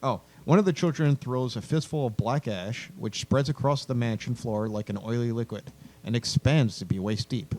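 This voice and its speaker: A man's voice: mid-range voice, moderate pace, darkish tone. A man giving casual, blunt feedback on a story as a panelist, informal and given to swearing. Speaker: podcast panelist, critic. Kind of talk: casual critique discussion.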